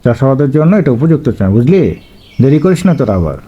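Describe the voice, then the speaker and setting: A man's voice: mid-range voice, sing-song, fast talker, dull audio, a little hiss or hum, close to an everyday voice. A man voicing a bossy yet courteous village headman in a cartoon story, instructing in a folksy way. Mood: commanding.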